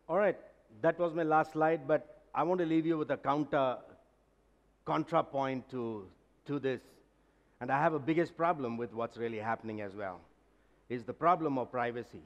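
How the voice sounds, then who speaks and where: A man's voice: medium pitch, moderate pace, dull audio. Guy in his 50s giving a conference talk, blunt and emphatic, big hall echo. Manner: direct, emphatic, gesturing while talking. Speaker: conference speaker. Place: large conference hall stage. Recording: long hall reverb.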